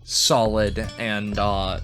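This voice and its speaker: Slow, low-voiced man roleplaying. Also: little pitch movement, fairly bright tone, faint music behind. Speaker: tabletop game player. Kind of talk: measured roleplay and description.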